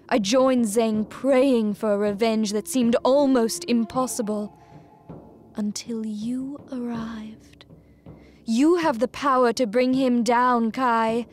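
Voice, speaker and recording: An actress playing a grave, determined noblewoman war leader in a video game, solemn and measured. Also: a little high. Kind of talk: video game cutscene acting, dramatic briefing.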